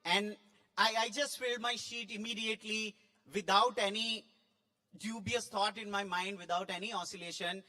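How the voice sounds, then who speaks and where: A man's voice: high voice, steady tone, normal speed, bright, forceful tone. A man giving an effusive, emphatic impromptu speech as a judge at a school play event. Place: large school hall. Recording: echoing hall with long reverb.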